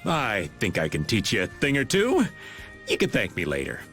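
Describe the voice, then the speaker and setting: Middle-aged joker for game skit: easygoing braggart, theatrical, hugely varied pitch, over music. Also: male voice, wildly swooping pitch, moderate pace, fairly bright tone, heavily acted. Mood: playful. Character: playful, boastful, easygoing middle-aged male rogue. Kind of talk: animated game skit acting.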